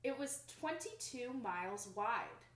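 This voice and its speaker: A young woman reads a children's picture book aloud with expressive, dramatic emphasis, recorded in a small room indoors. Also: a little high, slow pace. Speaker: storybook reader. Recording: roomy home recording.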